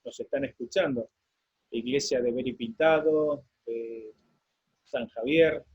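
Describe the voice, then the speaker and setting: Argentine guy, husky voice, halting greetings, muffled lo-fi audio. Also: medium pitch, a little mellow. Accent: Argentine Spanish. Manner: halting, sends greetings place by place. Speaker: pastor. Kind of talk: greetings on a church lesson program. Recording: dull lo-fi sound.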